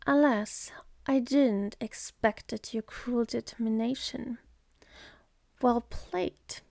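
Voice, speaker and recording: A woman voicing a jaded, scornful, seductive demoness in an ASMR roleplay, with a sardonic manner that slips into breathy whispers. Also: fairly high pitch, slow, darkish tone, dry recording, clean studio sound. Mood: bitter, resentful.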